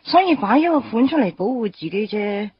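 A woman's voice: fairly high pitch, animated, fairly quick, muffled recording, a little hiss or hum, lightly acted. An actress with a Hong Kong Cantonese accent playing a playful, candid young woman in a radio drama, casual and teasing. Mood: frank.

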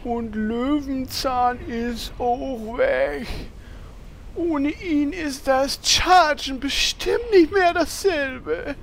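A woman's voice: high voice, extremely slow, gravelly, exaggerated voice. An actress playing a naive, dreamy, girlish adventurer in a comedic fantasy radio play. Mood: puzzled.

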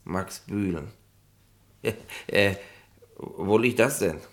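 Gravelly police inspector. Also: male voice, on the low side, drawn-out words. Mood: puzzled, skeptical. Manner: colloquial, hesitant, tagging questions with ne and nicht. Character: chummy, stubborn petty police inspector. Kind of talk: 1960s German radio comedy acting.

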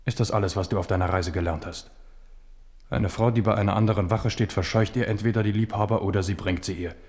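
Guy acting an older king in a german radio play, lowish voice, sardonic, bossy, theatrical. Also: fairly even intonation, fast talker, a little mellow, natural voice. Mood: sardonic, probing.

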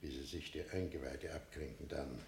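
A man playing a mild, grave prison warder in a radio play, simple-seeming yet knowing, deliberate and plain-spoken. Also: fairly even intonation, creaky, a little mellow. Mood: grave, guarded. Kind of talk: German radio play acting. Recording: echoey room, rumbling noise.

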